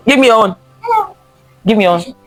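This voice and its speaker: A woman's voice: mid-range voice, moderate pace, soft background music. A woman reading a picture book aloud to children, expressively, repeating phrases and stopping to ask them questions. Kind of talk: interactive children's story time read-aloud.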